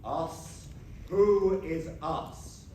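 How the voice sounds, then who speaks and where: A man's voice: fairly high pitch, very slow, darkish tone. A man playing a pompous, exasperated villain wizard in a comic stage pantomime, declaiming grandly. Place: stage in a hall with live audience. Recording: echoey theatre, distant mic, noisy.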